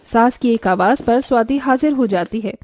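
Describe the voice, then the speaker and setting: A woman's voice: a little high, normal speed, a little mellow, no room sound. A woman narrating a scripted family cartoon story.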